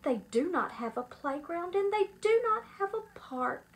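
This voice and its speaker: A woman voicing an excitable, outspoken schoolchild from a storybook with melodramatic exclamations. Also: very high-pitched, unhurried, full character voice. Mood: horrified.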